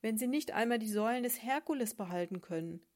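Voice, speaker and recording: A woman doing a pompous, lecturing sponge gentleman. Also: on the high side, expressive, fast talker, pitched above the performer's own voice, close to an everyday voice. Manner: pedantic, lecturing, precise. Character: pompous know-it-all male sponge. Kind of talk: storybook reading.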